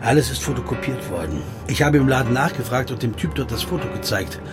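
A man's voice: medium pitch, lively intonation, quick pace, close to an everyday voice. An actor playing a jazz pianist who narrates his own story in a dry, understated way and talks with friends. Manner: thoughtful first-person narration, dry and understated. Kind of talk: audio drama narration and dialogue. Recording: music bed underneath.

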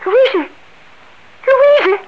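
An actress playing a fretful, suspicious older aunt in a Dutch radio play, speaking politely and anxiously. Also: female voice, very high-pitched, animated, very slow, heavily acted. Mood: alarmed. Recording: dull, hissy old radio recording.

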